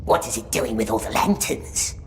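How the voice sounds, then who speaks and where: A man playing a small, wretched, obsessive game creature with a gravelly voice, muttering erratically to himself in broken grammar. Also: lowish voice, expressive, normal speed, slight background noise, close to an everyday voice. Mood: suspicious. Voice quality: creaky, gravelly. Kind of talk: video game character acting.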